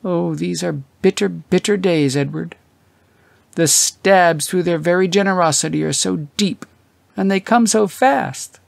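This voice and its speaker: A man's voice: high-pitched, a little slow, vocal fry, dry recording, voice pushed up, heavily acted. A man voicing a sorrowful, distressed elderly wife in an audiobook, plaintive and lamenting. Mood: grieving.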